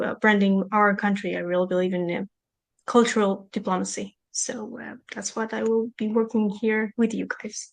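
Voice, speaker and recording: A woman's voice: medium pitch, creaky. A young woman, chatty as she introduces herself as a student. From Israel. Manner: chatty, with small laughs. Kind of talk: self-introduction.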